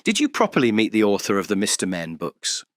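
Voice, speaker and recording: Lively-toned British interviewer. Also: male voice, lowish voice, a little fast, dry recording, clean studio sound. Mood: probing. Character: curious, polite, probing male documentary interviewer. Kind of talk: audio drama interview acting.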